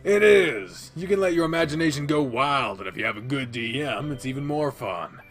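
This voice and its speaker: Man voicing a young furry gamer guy, boastful and giggly, bright gravelly tone, soft music behind. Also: mid-range voice, expressive, moderate pace. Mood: fond. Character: excitable young male animal-person gamer.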